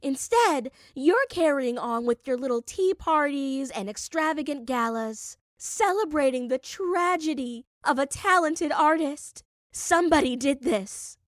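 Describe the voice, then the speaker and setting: Woman acting furious, high-pitched accusing woman. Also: lively intonation, measured pace, no echo, studio quality. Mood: bitter, outraged. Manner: hissing, snapping, confrontational. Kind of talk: audiobook character voice.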